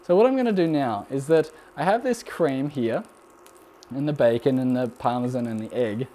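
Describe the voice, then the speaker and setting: Relaxed Australian man, mellow voice. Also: medium pitch, normal speed. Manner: rambling and relaxed, frequent ums, laughs often. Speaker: cooking channel host. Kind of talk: live cooking stream, answering chat questions.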